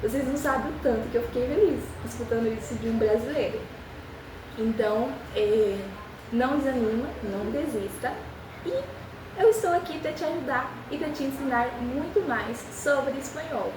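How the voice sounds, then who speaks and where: A young woman who is a native Spanish speaker, speaking Portuguese with a Spanish accent and teaching beginners with an encouraging manner, repeating phrases slowly for practice. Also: sits high, animated, reverberant, recorded from a distance, noisy background. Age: young adult. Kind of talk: beginner language lesson.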